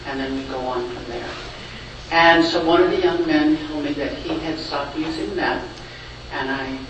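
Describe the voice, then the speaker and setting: A man with a somewhat high voice tells an earnest, reflective story about his group work. He sounds distant from the mic in a very echoey room, with clear background noise. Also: fairly even intonation, normal speed. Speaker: group facilitator at a psychiatric unit. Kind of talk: sharing a story in a group meeting.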